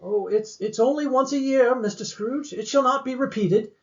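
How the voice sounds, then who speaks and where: A man's voice: extremely high pitch, normal speed. Timid clerk, played by a man: hesitant, deferential, apologetic, radio drama with slightly muffled sound. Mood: nervous, apologetic. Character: meek, apologetic, nervous male office clerk.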